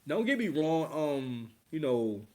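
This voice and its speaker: A man hosting a casual reaction commentary, rambling and slangy, with animated swings in delivery. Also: fairly high pitch, takes it slowly. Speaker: commentary host.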